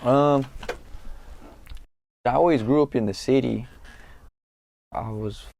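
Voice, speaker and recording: A young man from California, a guest in an interview, talking in a laid-back, halting way with street slang and lots of fillers. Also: medium pitch, gravelly, darkish tone, slight background noise. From Norwalk, California. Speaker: guest, rapper. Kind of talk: casual interview talk.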